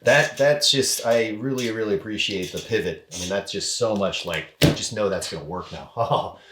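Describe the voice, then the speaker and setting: A man speaking casually and effusively as an audio drama director, halting as he finds his words. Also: lowish voice, a bit bright, slight background noise. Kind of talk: casual, unscripted behind-the-scenes talk.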